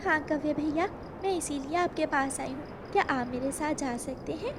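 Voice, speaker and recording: A woman voicing a worried, gentle and motherly sparrow in a cartoon. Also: high voice, normal speed, background noise. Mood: polite.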